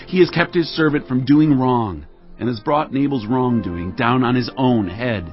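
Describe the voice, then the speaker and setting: A man acting a fierce warrior chief in an audio scripture drama. He declaims with solemn force and devout conviction at a middle pitch. Music plays clearly behind his slightly dulled voice. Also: normal speed, muffled recording, natural voice. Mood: grateful, solemn.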